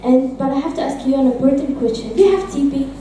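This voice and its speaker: A young woman student presenting as a pharmacist, calm and reassuring as she explains a drug. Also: sits high, quick pace, slight background noise. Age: young adult. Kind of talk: class presentation and role play. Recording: echoey room from far off.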